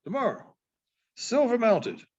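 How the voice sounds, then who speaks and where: A man voicing a kindly but commanding frontiersman in a storybook, plain-spoken and gruff in manner. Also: very high-pitched, big pitch swings, a little slow, darkish tone, voice pushed up, heavily acted. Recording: dull, muffled lo-fi sound.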